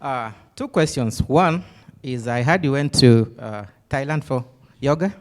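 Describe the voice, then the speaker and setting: A man with a Kenyan accent asks a question from the audience at a conference. He speaks politely and in a measured way, at an ordinary pitch. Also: animated. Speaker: audience member, graduate student.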